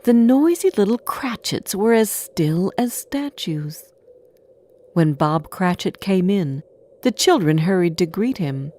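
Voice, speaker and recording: A woman reading a scripted storybook tale as narrator, with an expressive, deliberate delivery. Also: on the low side.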